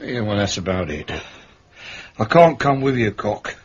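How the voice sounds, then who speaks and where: Husky, grumbling Cockney manservant. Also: male voice, fairly low pitch, big pitch swings, unhurried, muffled recording, exaggerated voice. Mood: dry, reluctant. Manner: grumbling, colloquial, blunt. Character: grumpy, cheeky Cockney manservant with wounded dignity. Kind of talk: radio drama acting.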